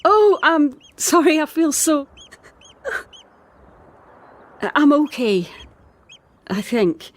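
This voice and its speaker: A woman playing a troubled, defensive island woman in an audio drama, speaking in a halting, distressed way. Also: high voice, very animated, heavily acted. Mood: upset, hesitant.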